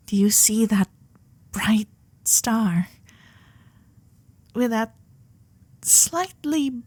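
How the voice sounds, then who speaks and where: A woman playing a shy, starry-eyed young Gilded Age heiress in an intimate romantic ASMR roleplay, breathy and often whispering, with genteel, hesitant manners. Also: on the high side, darkish tone, dry recording, full character voice. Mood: quietly confident. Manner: genteel, old-fashioned politeness, hesitant pauses.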